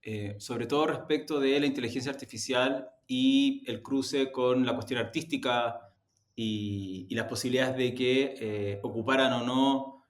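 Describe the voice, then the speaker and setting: A man with a mid-pitched voice answers interview questions in a roomy, echoey space. He explains things conversationally, often pausing and feeling around for the right word. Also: fairly even intonation. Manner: hesitant, searching for words, explanatory. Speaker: media executive, interview guest.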